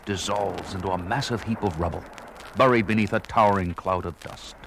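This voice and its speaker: A man's voice: deep voice, brisk, creaky, fairly bright tone, slight background noise. A man narrating a 1970s children's adventure record in a theatrical, urgent storytelling style.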